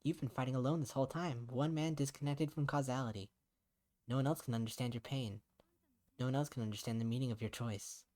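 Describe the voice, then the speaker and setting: A man's voice: medium pitch, fairly even intonation, fairly quick, a little mellow, natural voice. A man voicing an earnest young woman in a visual novel, blunt but caring, questioning frankly and insistently. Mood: frank, understanding.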